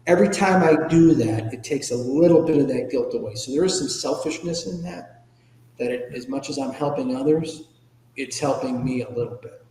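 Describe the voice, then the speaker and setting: An American man speaks as an interview guest in a candid, informal, reflective way. His pitch sits in the middle range for a man. The room around him is echoey, with a long reverberant tail. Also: moderate pace, warm tone. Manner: candid, informal, occasionally salty. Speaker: podcast guest, ethics trainer and public speaker. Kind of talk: reflective personal interview answers.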